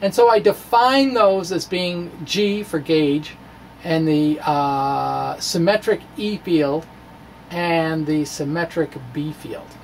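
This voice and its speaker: A middle-aged American man with a gravelly, creaky edge to his voice talks through physics informally. He is casual and halting, pausing to think as he goes. Some room noise sits behind him as he speaks into a microphone. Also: fairly high pitch. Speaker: physics video blogger. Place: small cluttered indoor room with bookshelves. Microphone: microphone on a stand in front of him.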